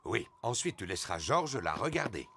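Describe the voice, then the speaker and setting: A man's voice: fairly low pitch, sing-song, normal speed, bright, forceful tone, big-room sound, a little hiss or hum, exaggerated voice. A man voicing a jovial, playfully pompous father pig in a children's cartoon, with a hearty theatrical delivery. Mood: cheerful.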